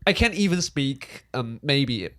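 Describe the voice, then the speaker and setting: A young man from Taiwan with a Taiwanese accent, Mandarin his first language, co-hosting a casual podcast and talking in halting, restarting sentences. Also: medium pitch, lively intonation, fairly bright tone. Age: young adult. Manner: halting, many restarts and fillers. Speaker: podcast co-host. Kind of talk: casual chat.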